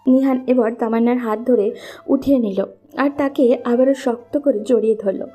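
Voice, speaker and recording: Casting: female storyteller for romance audio, high but mellow voice, gentle music bed. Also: fairly even intonation, moderate pace. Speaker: narrator. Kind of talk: scripted romantic story narration.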